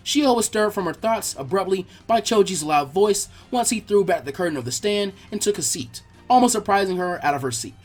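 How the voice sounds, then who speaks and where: A man reading fan fiction aloud as an expressive scripted narration. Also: sits high, brisk, hardly any pauses, faint music behind.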